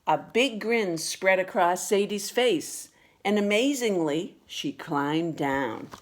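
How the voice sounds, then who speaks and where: A woman reading a children's picture book aloud with expressive, deliberate storytelling delivery. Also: mid-range voice. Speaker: storybook reader.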